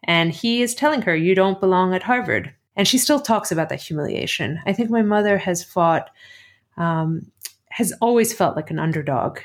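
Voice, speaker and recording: A middle-aged woman with a slightly low voice and an American accent. She shares reflective personal stories as an interview guest, conversational and often rephrasing as she thinks aloud. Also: lively intonation, moderate pace. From Athens, Greece.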